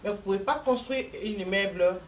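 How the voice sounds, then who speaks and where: A woman's voice: mid-range voice, fairly quick, crowd noise. A woman from Congo, an invited speaker, giving a methodical, explanatory talk at a community meeting. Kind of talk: measured public address. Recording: distant mic, faint room noise.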